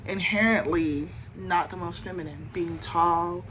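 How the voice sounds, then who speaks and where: A young woman with a deeper voice for a woman, chatting candidly into her phone camera with lots of fillers. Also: steady tone, measured pace, fairly bright tone, slight background noise, poor audio. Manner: chatty, candid, many fillers like "like" and "you know". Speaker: vlogger. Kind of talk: casual talk to camera.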